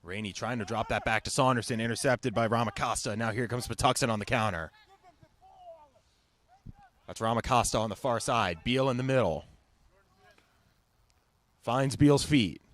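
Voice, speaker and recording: A man's voice: medium pitch, normal speed, long pauses, a bit bright. A man calling live soccer play-by-play in clipped, fragmentary phrases. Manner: clipped, fragmentary calls of the action. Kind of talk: live television sports commentary.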